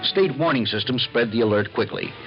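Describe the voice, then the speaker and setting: An American man playing an authoritative explainer, formal and measured, in mid-century radio documentary style. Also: medium pitch, expressive, lightly acted. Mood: formal, reassuring. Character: authoritative male explainer of civil defense procedures. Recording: muffled old radio recording, loud music.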